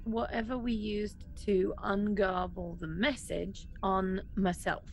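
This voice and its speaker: A woman's voice: mid-range voice, level tone, slow pace. A woman playing a tabletop role-playing game, chatting casually and thinking aloud in a hesitant way. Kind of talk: casual, improvised game chat.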